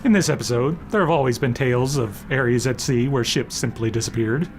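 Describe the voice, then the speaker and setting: A man's voice: medium pitch, a little fast. A man hosting with a scripted, dramatic introduction to a radio drama. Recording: voice over clear music.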